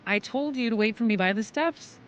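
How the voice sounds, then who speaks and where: A woman's voice: a little high, a little fast, close to an everyday voice. A woman playing a weary, apologetic mother in an audio drama. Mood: apologetic, sad.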